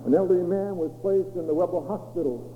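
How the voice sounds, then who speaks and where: A man's voice: sits high, fast talker. A man with a Maine accent preaching, telling testimonies with dramatic swoops and acted-out quotes. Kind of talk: sermon testimony storytelling. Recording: echoey hall over a tinny lo-fi line with faint hum.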